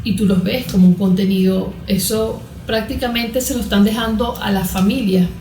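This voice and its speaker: A woman's voice: mid-range voice, steady tone, normal speed, mellow. A woman speaking as a guest therapist in an interview, explaining emphatically with rhetorical questions. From Venezuela. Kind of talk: conversational interview answers on parenting. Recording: roomy, echoing sound.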